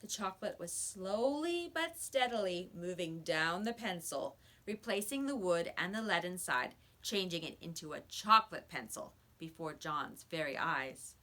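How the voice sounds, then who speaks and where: A woman narrates a children's storybook expressively. Her voice is bright and forward, with lively rises and falls in pitch. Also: moderate pace, hardly any pauses. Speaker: storybook reader.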